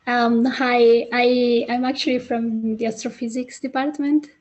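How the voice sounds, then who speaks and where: A woman's voice: a little high, flat delivery, measured pace, a little mellow, lo-fi sound. A woman attending a seminar, asking a question hesitantly with many restarts on a video call.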